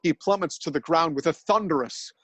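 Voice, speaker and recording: A man narrates an absurdist tale with deadpan, mock-dramatic gravity. His voice sits a little high and the recording is dry and close. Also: a little fast, no echo.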